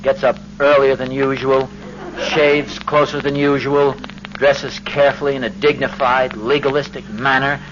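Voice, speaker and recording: An actor playing a flustered, self-important suburban husband in 1940s radio comedy style, blustering and stammering. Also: male voice, mid-range voice, flat delivery, normal speed, close to an everyday voice. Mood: aggrieved grumbling. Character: indignant, self-important suburban husband, bumbling and easily ruffled. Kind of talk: 1940s radio sitcom acting. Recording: muffled, noisy old radio recording.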